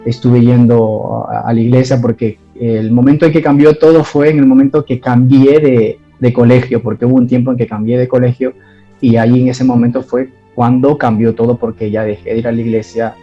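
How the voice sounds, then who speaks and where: A man recounts his faith testimony in an interview, hesitating often and restarting his sentences. His voice is gravelly and mellow. The recording is dull and lo-fi, with faint music behind him. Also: mid-range voice, little pitch movement, fairly quick. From Ecuador. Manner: hesitant, many fillers and restarts. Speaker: guest giving testimony.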